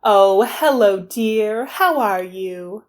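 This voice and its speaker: A woman's voice: medium pitch, expressive, drawn-out words. A woman playing a scatterbrained, fretful but kindly middle-aged mother in a 1940s radio comedy style. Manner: fussy, exclaiming, calls everyone dear.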